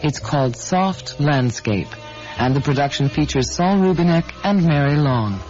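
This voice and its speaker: Male series announcer, scripted credit read, slightly high pitch, muffled old radio sound. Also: lively intonation, normal speed, a little mellow, faint music behind. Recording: dull 1980s radio broadcast.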